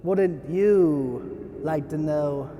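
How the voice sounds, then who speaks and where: A woman's voice: low-pitched, very slow, dull audio. A woman playing a mocking inner critic in a surreal audio drama, taunting and theatrical, drawing out her words. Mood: coy. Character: mocking inner critic spirit of self-doubt, needy and theatrical.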